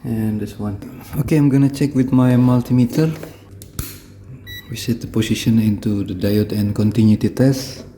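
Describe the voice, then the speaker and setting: A man with an Indonesian accent giving a hands-on repair tutorial in halting, simple phrases. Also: on the low side, fairly even intonation, warm tone, slight background noise. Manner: halting, short phrases in simple non-native English.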